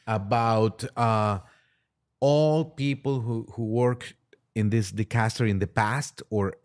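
Low-voiced man, halting conversational delivery. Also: a little mellow. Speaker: priest, podcast guest. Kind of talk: conversational religious discussion.

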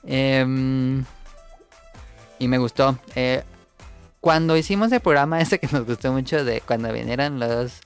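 A man with a central Mexican accent, co-hosting a casual podcast chat and recommending shows in a relaxed way. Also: medium pitch, creaky, clear, resonant tone. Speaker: podcast co-host.

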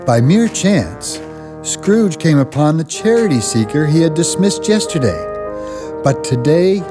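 An actor narrating a Christmas radio drama as a storyteller, measured and expressive. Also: male voice, a little high. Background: music.

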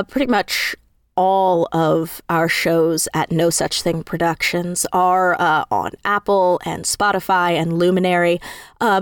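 A woman's voice: fairly low pitch, no echo, clean studio sound. A woman chatting casually as an interview guest, with an effusive, enthusiastic way of talking. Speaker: interview guest, audio drama creator.

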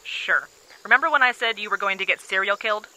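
A woman plays a sardonic radio colleague in an audio drama. Her voice is mid-pitched and strikingly sharp, with a dry, teasing delivery. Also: fast talker, low-quality recording.

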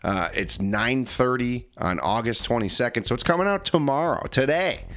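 A man's voice: mid-range voice, expressive, moderate pace, a bit bright. A young man hosting a podcast, chatting casually and a little nasally, rambling with fillers. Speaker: podcast host.

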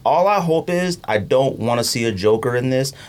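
A man's voice: mid-range voice, animated, normal speed. A man chatting casually as a podcast host, emphatic and opinionated, with slangy phrasing and repeats for emphasis. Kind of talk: casual podcast banter about movies.

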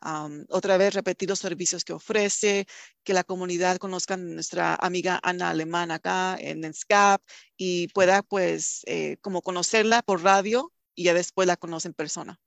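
A woman's voice: lowish voice, fairly even intonation, normal speed, gravelly, dry recording. A woman hosting a community radio interview, effusive and congratulatory in manner. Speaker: radio host. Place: recorded over a video call.

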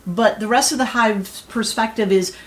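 A woman's voice: a little high, normal speed. A woman explaining her view in casual discussion, repeating phrases for emphasis. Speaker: voice actor on a round-table.

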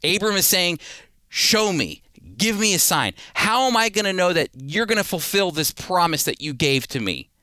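A man delivers a sermon in a bright, forceful voice, slightly high for a male speaker. His sound is clean and resonant. He teaches scripture as a story, landing key phrases with emphasis and pausing deliberately before addressing listeners directly. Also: animated, dry recording, clean studio sound. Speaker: preacher teaching a Bible series.

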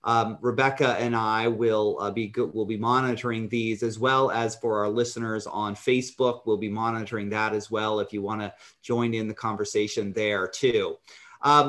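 A man hosting an online meeting over a video call, talking haltingly with frequent restarts. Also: mid-range voice, a bit bright. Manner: halting, many fillers and restarts. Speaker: host.

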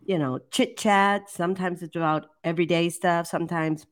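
An older woman with a low voice, casually co-hosting a live chat show, chatty and laughing easily. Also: steady tone, moderate pace. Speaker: livestream co-host.

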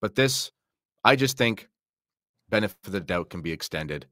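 A man's voice: low-pitched, expressive, normal speed, bright, forceful tone, no room sound, clean studio sound. A man talking as a podcast guest in a conversational religious discussion, making careful, qualified counterarguments.

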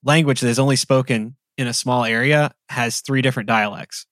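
Relaxed man chatting on a podcast. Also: mid-range voice, a little fast, dry recording, clean studio sound. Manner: relaxed, rambling with frequent "like" fillers. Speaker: podcast co-host. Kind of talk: casual conversation.

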